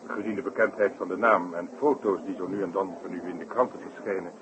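An actor playing a polite, worried circus director in a 1960s radio play, speaking formally and carefully. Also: male voice, sits low, fairly even intonation, fast talker, natural voice. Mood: formal, deferential. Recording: hissy, noisy old radio broadcast.

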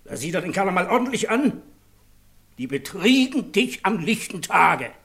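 Outspoken reproachful brother-in-law in german radio play, voice a bit high. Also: male voice, very animated, moderate pace, a bit bright, heavily acted. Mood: indignant, accusing. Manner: colloquial, reproachful, emphatic. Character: outspoken, indignant brother-in-law, accusing and stubborn. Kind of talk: German radio drama acting.

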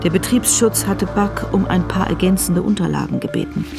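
A woman's voice: on the low side, moderate pace, creaky. A woman narrating a German radio drama in a sober, precise scripted style. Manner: sober, precise present-tense telling. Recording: music behind the voice.

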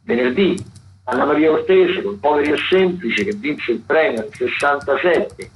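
A man's voice: fairly high pitch, lively intonation, normal speed. A man hosting a radio arts programme, talking through theatre listings in a semi-improvised way, often searching for words and repeating himself. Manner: hesitant, searching for words with repetitions. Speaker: radio host presenting a theatre events roundup. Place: radio studio. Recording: dull lo-fi radio sound, faint noise.

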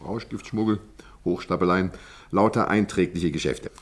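An actor playing a businesslike, measured police inspector in a 1950s German radio drama. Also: male voice, deep voice. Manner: measured, matter-of-fact. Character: businesslike, matter-of-fact male police inspector. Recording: faintly noisy old radio recording.

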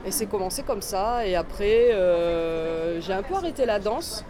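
A woman in her forties from southeastern France tells her story in an easygoing, meandering way, often stopping to restart a thought. She is recorded outdoors on a busy street, with chatter from a crowd and passing traffic clearly audible behind her. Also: medium pitch, a little slow. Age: 40s. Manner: relaxed, rambling, many fillers and restarts. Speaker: dancer and choreographer, guest. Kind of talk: casual interview chat.

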